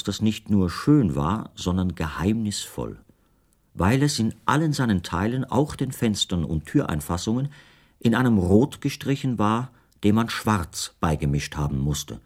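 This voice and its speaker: Low-voiced, reflective male writer narrating. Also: very animated, a little mellow, exaggerated voice. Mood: brooding, measured. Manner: measured, precise, formal. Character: reflective male writer, a foreign guest recounting his disappearance. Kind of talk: literary radio drama first-person narration.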